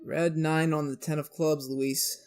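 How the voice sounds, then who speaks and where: Weary, earnest advocate for the doomed: low register, halting, subdued, period radio drama. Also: female voice, flat delivery, over music. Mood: subdued, defeated. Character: weary, earnest defender of a condemned friend. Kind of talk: 1940s radio drama acting.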